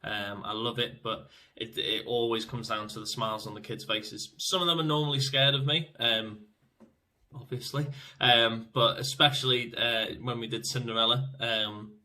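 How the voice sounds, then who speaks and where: A man's voice: medium pitch, fairly even intonation, moderate pace, sharp tone, distant mic. A man who performs in pantomime, reminiscing casually.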